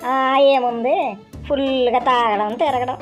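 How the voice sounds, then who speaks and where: Woman voicing a high-pitched awkward cartoon bachelor. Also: steady tone, a little slow, a little mellow, soft background music, natural voice. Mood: polite, awkward. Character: young bachelor suitor, awkward, sly, easily alarmed. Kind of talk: comic cartoon dialogue.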